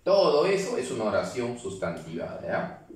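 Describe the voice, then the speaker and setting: A man's voice: mid-range voice, wildly swooping pitch, moderate pace, a little mellow. A man teaching a grammar class, explaining step by step and checking in with the students. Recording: very echoey room, mic far off.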